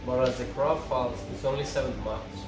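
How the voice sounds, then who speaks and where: A man's voice: mid-range voice, steady tone, a little fast, noisy background, close to an everyday voice. Man playing a sardonic older brother vampire, echoey room. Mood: cocky, wry. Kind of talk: amateur table read of TV drama script. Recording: roomy, distant mic, music underneath.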